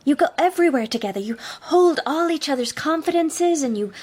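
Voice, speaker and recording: An actress playing a witty young socialite detective, arch, playful and genteel in a period radio drama. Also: female voice, high-pitched, lively intonation, brisk, a little mellow. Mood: arch, coy.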